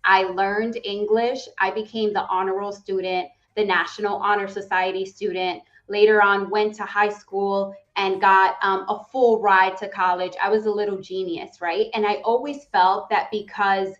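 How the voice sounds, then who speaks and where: A woman in her thirties from the Dominican Republic, whose first language is Spanish, speaking as an interview guest in an earnest, animated way with frequent "right?" and "um". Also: mid-range voice, moderate pace, hardly any pauses, muffled recording. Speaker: guest, real estate executive. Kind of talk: casual interview conversation.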